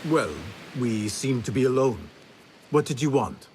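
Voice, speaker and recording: Game casting: man to play a loyal young male assassin, mid-pitched, resolute and measured, guarded in temper. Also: noisy background, close to an everyday voice. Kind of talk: dramatic video game acting.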